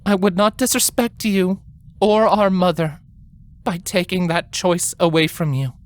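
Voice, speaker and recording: A man voicing a caring but stern older sister, a pony princess, speaking softly and earnestly in a fan fiction story. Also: high-pitched, fairly even intonation, voice pushed up. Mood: kind, firm, sad. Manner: soft-spoken, deliberate, earnest. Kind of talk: fan fiction character reading.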